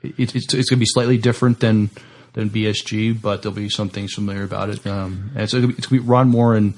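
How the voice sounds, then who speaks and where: Low, creaky, halting male co-host. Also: steady tone. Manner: hesitant, halting delivery. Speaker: podcast co-host. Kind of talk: casual discussion and reading of sci-fi news.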